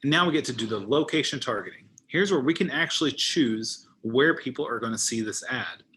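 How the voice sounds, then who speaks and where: A man's voice: medium pitch, animated, fairly quick, sharp tone, slight background noise. A man giving an informal tutorial as a trainer, thinking aloud as he demonstrates each step on screen. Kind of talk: informal screen-share walkthrough.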